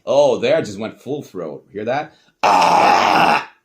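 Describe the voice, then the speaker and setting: A man, speaking in a lively, swooping voice. He talks casually and curses freely, with plenty of self-mockery. The tone stays loose and unscripted. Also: on the low side, slow. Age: adult. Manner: animated, profane, self-mocking. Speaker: host. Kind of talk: casual commentary reacting to old tapes.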